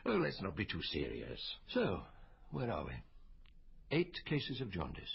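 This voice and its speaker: An actor playing a wry, urbane medical officer of health in a radio drama, with a husky voice and a measured, donnish, gently teasing manner. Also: male voice, low-pitched, wildly swooping pitch, exaggerated voice. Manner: droll, measured, donnish, given to quoting poetry. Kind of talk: BBC radio drama acting. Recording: dull, muffled radio recording.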